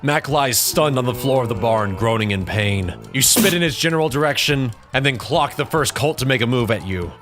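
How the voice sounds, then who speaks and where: A man's voice: mid-range voice, lively intonation, normal speed, few pauses, a bit bright, soft background music. A man narrating a scripted second-person story as the narrator of an audio drama.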